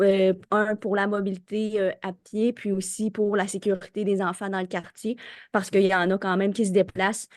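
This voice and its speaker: A woman's voice: mid-range voice, darkish tone, no room sound, clean studio sound. Chatty woman asking an insistent question. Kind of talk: public meeting question. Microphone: video call.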